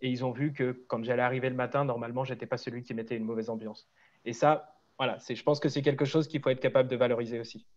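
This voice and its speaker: A man's voice: mid-range voice, steady tone, fast talker. Male panelist, earnest advice, muffled call audio. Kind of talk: online panel talk, giving advice.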